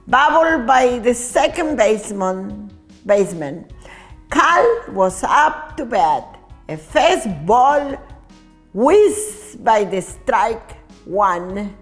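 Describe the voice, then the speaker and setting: A woman reading a children's story aloud in an expressive, deliberate way, occasionally stumbling over words. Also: fairly high pitch. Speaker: storytime reader.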